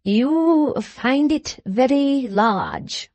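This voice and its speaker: A woman voicing a pompous, condescending middle-aged Englishman who talks slowly and over-explains, as to a deaf child. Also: high voice, animated, a little mellow, no room sound, pitched above the performer's own voice, exaggerated voice.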